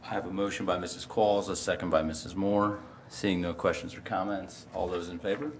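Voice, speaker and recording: A man's voice: deep voice, steady tone, a little fast. An American man chairing a town council meeting, running through formal procedure off the cuff in an indoor room. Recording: noisy meeting room audio.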